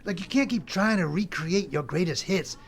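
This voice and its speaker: A man's voice: sits high, animated, moderate pace, vocal fry. A man playing Death as a brash, glib, freeloading cousin who rambles in bro talk in an audio comedy drama. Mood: glib, animated.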